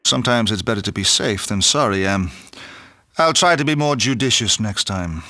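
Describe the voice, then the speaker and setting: Suave, low-voiced British secret agent. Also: male voice, animated, moderate pace, pushed and bright, dry recording. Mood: wry. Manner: dry, teasing, flirtatious. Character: suave, wry adult male secret agent. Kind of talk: video game voice acting.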